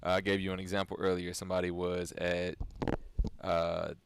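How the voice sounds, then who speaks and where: Affirming man with a low voice. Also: level tone, moderate pace, vocal fry, background noise. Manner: agreeable, affirming, asks long questions. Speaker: podcast co-host. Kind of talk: casual podcast discussion.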